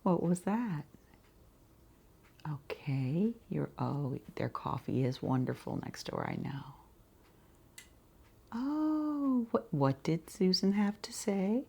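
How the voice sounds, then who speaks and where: A woman doing a quiet ASMR roleplay as a shopkeeper, speaking softly and breathily in an unhurried way, often slipping into a whisper. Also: lowish voice, very animated, vocal fry, slightly rough. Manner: unhurried, gentle pauses, murmured acknowledgements.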